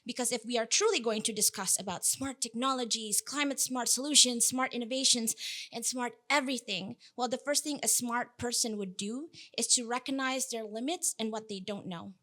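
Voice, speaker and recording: Earnest, slightly high-voiced woman speaker. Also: moderate pace, bright, forceful tone. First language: Indonesian. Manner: earnest, emphatic, with playful asides. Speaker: keynote speaker. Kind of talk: conference keynote talk.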